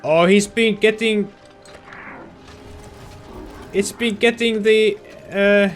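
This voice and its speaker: A man giving casual let's play commentary, hesitant, reacting in short, halting bursts. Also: high voice, fairly even intonation, soft background music.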